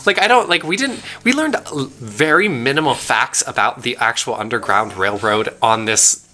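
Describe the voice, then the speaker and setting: Bright-voiced rambling young man. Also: medium pitch, lively intonation, moderate pace. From Pennsylvania, USA. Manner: rambling storytelling, many fillers and restarts. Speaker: let's play co-host. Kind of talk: casual chat over gameplay.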